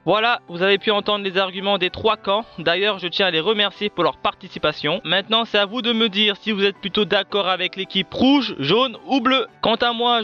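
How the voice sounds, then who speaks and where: Male host, high pitch, bright forceful tone, presenter style, addressing viewers, soft music behind. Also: dull audio. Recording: background music under voice.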